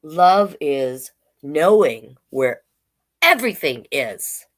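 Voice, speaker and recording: Slow, low-voiced woman reading stories. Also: sing-song. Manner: slow, deliberate read-aloud delivery with chatty asides. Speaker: drag king storytime host. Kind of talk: children's story reading with casual chat to online viewers.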